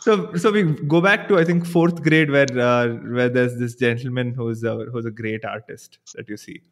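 A man's voice: medium pitch, normal speed. A man hosting a casual, freewheeling interview in an agreeable, easygoing way. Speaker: podcast host.

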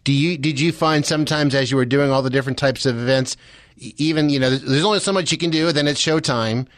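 A man's voice: mid-range voice, quick pace, fairly bright tone, no echo. An American man hosting a radio interview in a conversational, encouraging way, rephrasing his questions as he goes. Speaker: radio show host.

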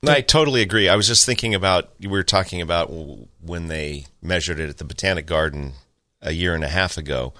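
A man's voice: low voice, sharp tone, no room sound, studio quality. A man hosting a garden talk show, joking and teasing his co-host in casual chat about his nursery. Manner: joking, teasing, quick asides.

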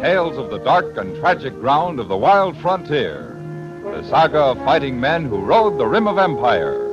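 A man's voice: medium pitch, sing-song, moderate pace. A male announcer grandly introducing a 1950s radio western in a declamatory manner. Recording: muffled 1950s radio with music.

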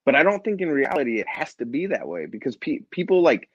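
A man's voice: fairly high pitch, sing-song, fast talker, dry recording. A man speaking as an interview guest in a conversational, motivational style, emphatic and repeating phrases for effect. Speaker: interview guest, nonprofit founder and CEO. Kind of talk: animated conversational interview, motivational leadership talk. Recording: slightly dull, lo-fi audio.

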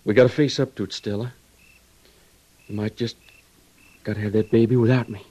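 Low-voiced, tender frontier trooper. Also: male voice, fairly even intonation, brisk, breathy edge, dry recording. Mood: troubled, earnest. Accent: American. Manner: folksy rural drawl, gentle and earnest. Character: tender, worried young frontier cavalry trooper husband. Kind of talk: old-time American radio drama acting.

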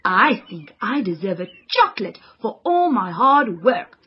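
A woman's voice: mid-range voice, very animated, unhurried, highs cut off, slight background noise. A woman voicing an earnest, studious young girl who loves homework and avoids exercise.

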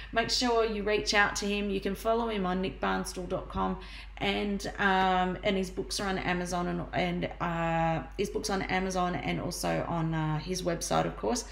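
A woman hosting a podcast at a middle pitch, chatty and enthusiastic as she introduces and questions her guest. Her voice rings in a very echoey room with faint background noise. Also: steady tone, moderate pace, gravelly, fairly bright tone. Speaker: podcast host. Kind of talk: casual interview hosting.

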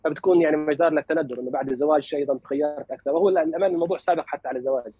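A man from Lebanon with a Palestinian Arabic accent, speaking as an interview guest in a measured, formal way with many hesitations. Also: on the high side, warm tone, slightly rough, no room sound. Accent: Palestinian Arabic as spoken in Lebanon. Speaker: guest, physician and analyst. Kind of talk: interview conversation.

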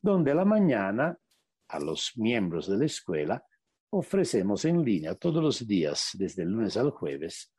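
A man with an Italian accent, a native Italian speaker, giving a radio interview as a meditation teacher and often pausing to search for the right word. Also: fairly low pitch, huge pitch swings, a little mellow, slightly rough, dry recording, clean studio sound. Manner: searching for words, slowing to stress points. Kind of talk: reflective interview answers.

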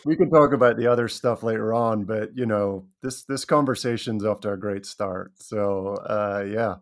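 A man's voice: on the low side, normal speed. A man from Scotland, talking thoughtfully as a guest in a casual interview. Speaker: podcast guest.

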